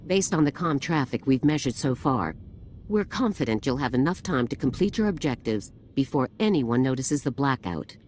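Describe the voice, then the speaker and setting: A man plays a composed, commanding general in a sci-fi video game. His briefing is formal and crisp, with lively movement in pitch. There is faint ambient noise behind him. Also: normal speed, natural voice. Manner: formal, crisp military delivery. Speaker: military commander giving briefing. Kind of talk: scripted video game dialogue.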